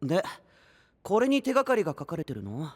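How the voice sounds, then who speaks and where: Breezy, high-voiced young knight. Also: male voice, wildly swooping pitch, brisk, dry recording. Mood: offhand, skeptical. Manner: light, offhand, casual. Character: breezy, cool, blunt, pretty young man knight. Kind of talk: Japanese anime-style voice drama. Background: background music.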